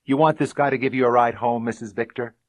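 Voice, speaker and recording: A man's voice: mid-range voice, steady tone, fast talker, no echo, voice pushed up, close to an everyday voice. A man voicing a cocky, sardonic police sergeant with a mock-folksy drawl in a hardboiled detective audiobook.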